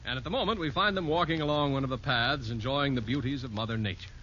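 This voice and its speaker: A man's voice: medium pitch, quick pace, bright, forceful tone, slight background noise. A man announcing and narrating a 1940s radio show in a scripted style. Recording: dull old radio recording with music. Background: music.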